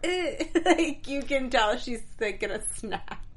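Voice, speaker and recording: A woman co-hosting a casual TV after-show, agreeable and quick to chime in. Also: sits high, lively intonation. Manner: agreeable, quick affirmations and laughs. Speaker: co-host.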